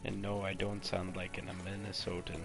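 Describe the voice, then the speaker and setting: A man's voice: sits low, completely flat delivery, brisk, vocal fry. A young man streaming a video game from home, chatty and casual, swearing, laughing and humming to himself. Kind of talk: casual live gameplay chat. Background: game music.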